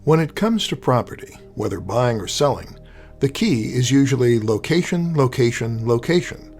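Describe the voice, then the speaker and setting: Guy introducing a radio play, theatrical and formal, pitch moves a lot, music under him. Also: very animated, moderate pace, darkish tone. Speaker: host and announcer of audio play series.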